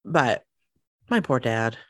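A woman's voice: rumbling low, slow, no room sound. Casual woman co-host, dry close sound. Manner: relaxed storytelling with many fillers. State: sniffly from cold. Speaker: podcast co-host. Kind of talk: casual chat.

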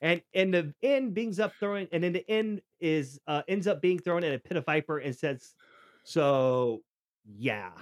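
Middle-aged guy podcast host, kinda high voice, halting storyteller, close dry sound. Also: animated, no room sound. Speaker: podcast host telling stories. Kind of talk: casual conversational storytelling.

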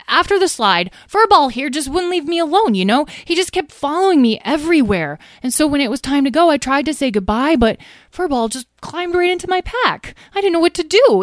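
A woman voicing an excitable, apologetic boy adventurer in a children's story, with an earnest, flustered, rambling manner. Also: high voice, dry recording, studio quality, voice pushed up.

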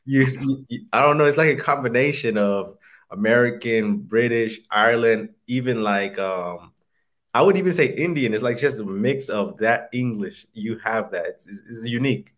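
A man's voice: medium pitch, normal speed, a bit bright. A man who teaches English, chatting casually on a podcast and chiming in with short agreements.

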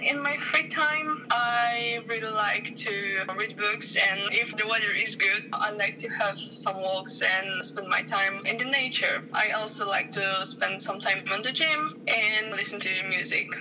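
A woman's voice: medium pitch, a little slow, few pauses. Distant woman over background music. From Poland. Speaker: master's student. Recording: distant mic with music behind.

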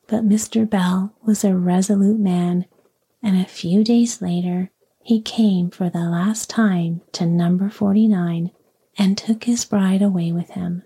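Slow breathy whispering woman. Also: medium pitch. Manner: slow, soft and soothing. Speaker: sleep story narrator. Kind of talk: whispered bedtime story reading, ASMR.

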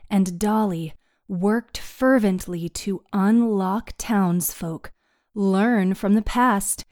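A woman narrating a scripted story recap in a measured, dramatic storytelling manner. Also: medium pitch, mellow, no echo, clean studio sound.

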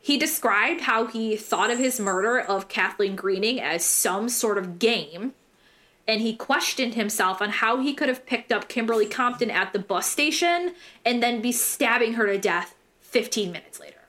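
A young American woman with a fairly high voice tells true crime as a podcast host. She is casual and irreverent, leaning on slang and swearing freely as she narrates. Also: expressive, moderate pace, fairly bright tone.

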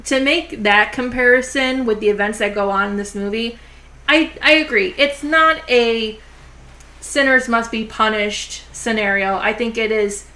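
A woman hosting a casual horror film review podcast, chatty and full of "like" and "you know" fillers, talking a bit slowly because her jaw is sore. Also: on the high side, pushed and bright.

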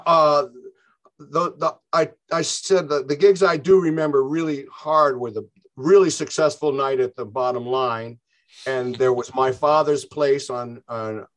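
An older man talks at length in a relaxed interview. His speech rambles and circles back, with frequent false starts. His voice sits at an ordinary middle pitch. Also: measured pace. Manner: rambling, chatty, frequent restarts. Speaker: interview guest, musician. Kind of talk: casual interview chat.

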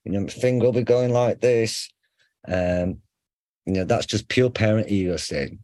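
A middle-aged man with a faint Sheffield accent, a psychotherapist explaining ideas in a relaxed interview, full of examples, fillers and restarts. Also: lowish voice, moderate pace, dry recording. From England. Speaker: psychotherapist guest.

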